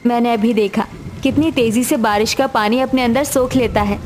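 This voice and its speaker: A woman's voice: fairly high pitch, fairly even intonation, moderate pace, darkish tone, a little hiss or hum, close to an everyday voice. A woman voicing a poor, devoted, hopeful young daughter-in-law in a cartoon family story. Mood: determined, hopeful. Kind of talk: cartoon dialogue acting. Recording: music behind the voice.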